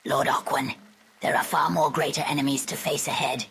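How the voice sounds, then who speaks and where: Lady doing a undead game minion, really deep and super breathy raspy, cool n loyal, talks formal. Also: fairly even intonation, a bit bright, full character voice. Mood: composed, persuasive. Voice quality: hoarse, breathy, whispery. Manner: formal, measured. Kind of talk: video game cutscene acting.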